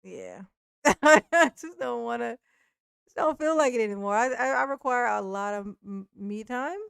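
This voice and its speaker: A woman in her forties with a high, gravelly voice talks bluntly and profanely. Her voice is smooth underneath the gravel, and the sound is dry and close. She laughs often and restarts her sentences. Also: moderate pace, a bit bright, no room sound. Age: 40s. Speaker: streamer. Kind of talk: casual live-stream chat.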